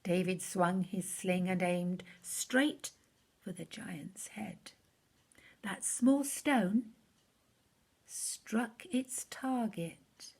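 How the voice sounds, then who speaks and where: Slow, slightly husky woman storyteller. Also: medium pitch, a little mellow. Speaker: story reader. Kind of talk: children's rhyming story read aloud.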